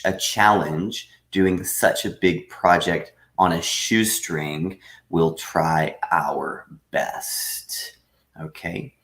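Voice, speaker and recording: A man teaching a live online English lesson, patiently explaining with frequent pauses. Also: deep voice, a bit bright, reverberant. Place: room at home.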